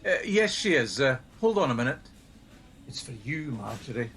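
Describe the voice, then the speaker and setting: Measured team leader, mid-pitched voice. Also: male voice, big pitch swings, fairly bright tone. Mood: businesslike. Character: measured, conscientious male helpline team leader. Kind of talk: naturalistic radio play acting.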